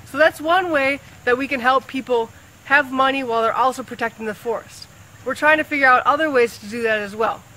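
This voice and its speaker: A woman's voice: high voice, brisk, sharp tone, low-quality recording. A woman working on rainforest protection, earnestly explaining things in simple words to young schoolchildren, often repeating words as she thinks aloud. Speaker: rainforest conservationist answering pupils' questions.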